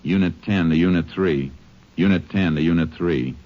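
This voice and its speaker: Casting: male actor as calm Ranger investigator, very low voice, clipped and matter-of-fact, old radio western with dull hissy sound. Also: moderate pace, close to an everyday voice. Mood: brisk, official. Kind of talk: 1950s radio western drama acting and narration. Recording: dull old radio recording with clear hiss.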